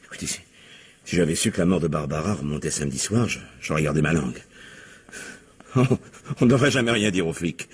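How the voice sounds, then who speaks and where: Gruff defensive middle-aged movie producer, really deep raspy voice, radio mystery play. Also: male voice, lively intonation, fairly quick, long pauses, exaggerated voice. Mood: defensive, protesting. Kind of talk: French radio crime drama acting.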